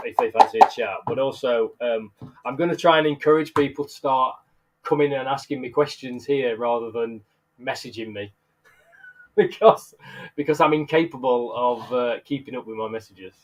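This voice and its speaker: A man's voice: medium pitch, moderate pace, a little hiss or hum, low-quality recording. Nasally blocked-up man chatting. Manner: rambling, laughs often, chats to viewers by name. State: blocked up nose, nasally from illness. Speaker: live streamer and bug keeper. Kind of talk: casual livestream chat. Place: bug room, indoors.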